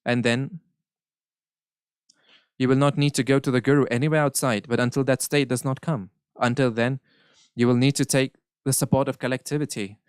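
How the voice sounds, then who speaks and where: Smooth-voiced man interpreting. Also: medium pitch, steady tone, no room sound, clean studio sound. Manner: measured, earnest, occasional self-corrections. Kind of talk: live interpretation of a spiritual Q&A.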